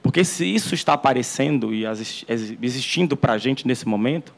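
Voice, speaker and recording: Man, university lecture, earnest, halting restarts, auditorium talk. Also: mid-range voice, animated, gravelly. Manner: earnest, frequent restarts and repeated words. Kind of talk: academic lecture.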